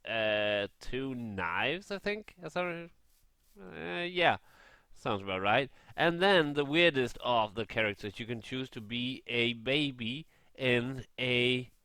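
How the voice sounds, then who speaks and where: A man gaming vlogger chatting casually and haltingly in a rambling review over gameplay. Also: mid-range voice, pushed and bright, clear, resonant tone, dry recording.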